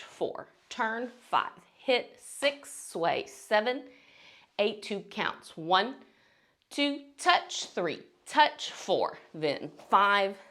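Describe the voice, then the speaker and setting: A woman's voice: mid-range voice, a bit bright. A woman teaching a beginner dance tutorial step by step, encouraging and counting beats aloud between instructions.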